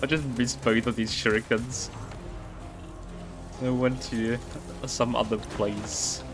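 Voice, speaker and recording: A man's voice: mid-range voice, little pitch movement, slow, music in the background. A man giving casual, rambling live gameplay commentary as a game streamer, easily impressed by what happens.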